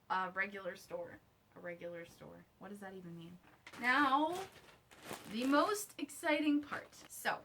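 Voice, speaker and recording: A woman vlogger talking casually to camera in an unboxing style, slangy and informal, often thinking out loud to herself. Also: mid-range voice, a little hiss or hum. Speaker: vlogger reviewing her own merch.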